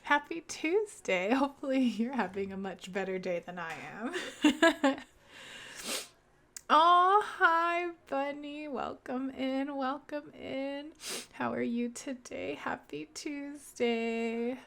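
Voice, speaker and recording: Slow, gravelly young woman streamer. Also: high voice, a bit bright. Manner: chatty, laughs often, greets chat by name. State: congested with a sore throat. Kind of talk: casual game stream chat.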